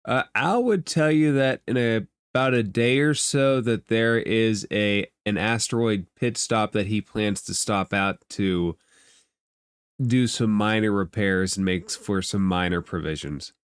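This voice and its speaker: A man's voice: fairly low pitch, measured pace, clean tone, no room sound. A man working as game master, narrating a tabletop game off the cuff in a conversational, explanatory way.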